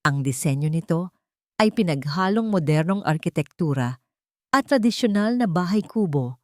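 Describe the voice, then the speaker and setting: A woman's voice: fairly low pitch, expressive, moderate pace, darkish tone, no room sound, studio quality. A woman reading a scripted dramatic story as its narrator.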